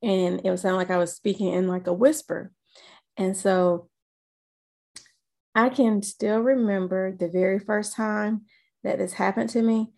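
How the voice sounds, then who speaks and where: A woman in her thirties slowly recounting a personal experience, halting often with fillers. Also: mid-range voice, fairly even intonation, creaky, warm tone. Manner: slow, halting storytelling with many fillers. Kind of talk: personal testimony.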